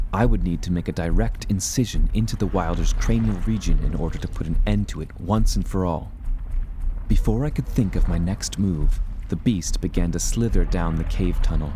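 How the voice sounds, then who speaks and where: An actor playing a gloomy, formal adventurer who recounts his perils in grave, measured, ornate dramatic narration. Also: low voice, noisy background, natural voice. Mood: tense, grave. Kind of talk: dramatic first-person audio drama narration.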